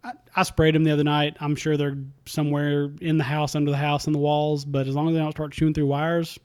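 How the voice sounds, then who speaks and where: A man's voice: fairly high pitch, completely flat delivery, quick pace, a little mellow, smooth. A man, telling easygoing stories with dry humor as a podcast co-host. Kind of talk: casual chat.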